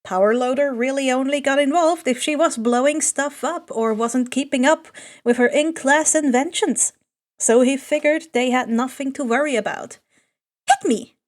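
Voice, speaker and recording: A woman with a very high voice narrates fiction. She reads scripted prose aloud as an amateur audiobook narrator. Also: moderate pace. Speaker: podfic narrator.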